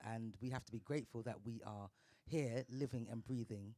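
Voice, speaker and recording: A man hosting a casual live talk show, upbeat and slangy, giving shout-outs to listeners and DJs. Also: mid-range voice, normal speed, dry recording. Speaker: podcast host.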